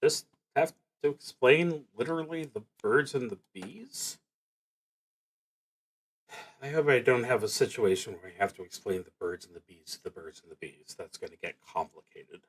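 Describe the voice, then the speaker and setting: A man streaming a solo tabletop roleplaying game, musing aloud in a halting way and reading out prompts as he plays. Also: mid-range voice, breathy edge, low-quality recording. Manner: halting, musing, pauses mid-sentence.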